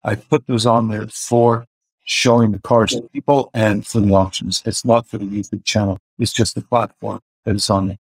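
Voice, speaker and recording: A man's voice: fairly low pitch, flat delivery, normal speed, husky, dry recording, rough recording. A man in his forties with a mixed Iranian and Swedish accent, speaking hesitantly with many fillers on a video call interview. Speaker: car filmmaker guest. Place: home room indoors. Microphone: video call webcam.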